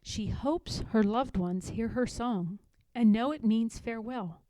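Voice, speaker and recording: A woman reading a children's picture book aloud in a measured, dramatic narrating style. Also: on the high side, mellow, low-quality recording. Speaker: storybook reader.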